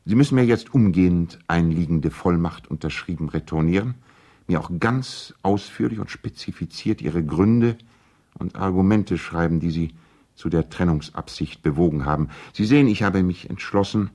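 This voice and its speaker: Very deep, formal male lawyer. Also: mellow. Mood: earnest, formal. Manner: formal, measured, legalistic letter diction. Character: courteous, earnest male lawyer and concerned family friend. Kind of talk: radio play acting, reading out letters.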